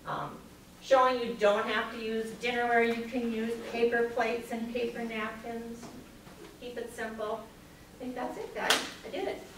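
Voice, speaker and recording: A woman's voice: fairly high pitch, fairly even intonation, normal speed. A middle-aged woman leads an informal home decor class in a large meeting room. She talks in a chatty, practical way with plenty of ums. She is recorded from a camera at the back, so her voice sounds distant and very echoey, with the audience heard around her. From Minnesota. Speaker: master gardener presenter. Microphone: far camera at the back of the room. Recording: echoey room, far from mic, audience noise.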